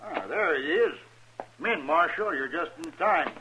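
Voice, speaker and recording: A man's voice: a little high, animated, normal speed, close to an everyday voice. Folksy frontier storekeeper, played by a man, muffled. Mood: hearty. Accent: American. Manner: folksy, informal. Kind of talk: 1950s radio western acting. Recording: muffled, noisy old radio recording.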